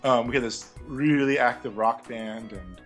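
A man's voice: mid-range voice, a little slow, a bit bright, soft background music. Reflective young man with frequent fillers. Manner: reflective, many fillers and restarts, laughs lightly. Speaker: interview guest, former music teacher. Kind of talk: casual conversational interview.

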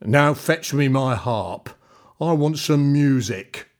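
A man voicing a huge, bad-tempered giant in a storybook reading, booming and demanding. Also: expressive, takes it slowly.

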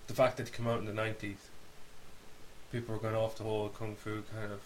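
A man's voice: fairly low pitch, completely flat delivery, fast talker, rough recording. A man co-hosting a casual podcast about films and games, talking bluntly and swearing freely as he dismisses things. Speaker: podcast co-host. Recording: hissy podcast recording with background noise.

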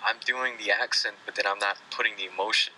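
A man's voice: fairly low pitch, fairly quick, slight background noise, rough recording. A man co-hosting a casual podcast, telling stories with a lot of laughter. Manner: laughing, animated storytelling. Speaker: podcast co-host. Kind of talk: casual chat.

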